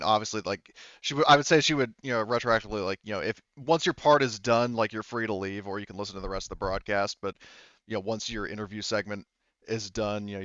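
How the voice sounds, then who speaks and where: A man with a lowish, smooth voice narrates a tabletop game as its game master. He feels his way through sentences in a rambling, improvised manner. The sound is dry and slightly dull. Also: fairly bright tone, dry recording. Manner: hesitant, rambling, improvised phrasing.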